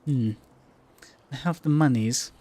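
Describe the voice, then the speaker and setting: A man's voice: mid-range voice, slow pace, warm tone. A man giving casual live gameplay commentary to himself in short, muttered, sweary reactions. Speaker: game streamer. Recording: faint background noise.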